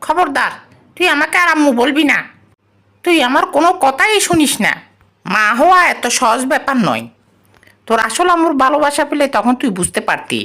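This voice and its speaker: A performer with a woman-like voice plays an excitable, boastful cartoon cat who talks to itself in dramatic exclamations. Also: sits high, fairly quick, exaggerated voice. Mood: scolding. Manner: exclamatory, dramatic, talking to self. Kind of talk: cartoon dub, comic monologue.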